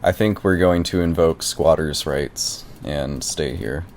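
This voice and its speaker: A man's voice: a bass voice, a little slow, darkish tone, slight background noise. A man playing a stubborn, clueless squatting tenant in an improvised prank phone call, rambling and argumentative with feigned naivety. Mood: defiant. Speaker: prank caller.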